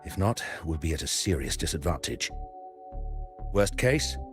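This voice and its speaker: A male professor from an anime-style game with a deep voice and lively intonation. He sounds grave, measured and authoritative, carrying a heavy responsibility. Music plays clearly behind him. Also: full character voice. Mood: urgent, grave. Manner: serious, measured.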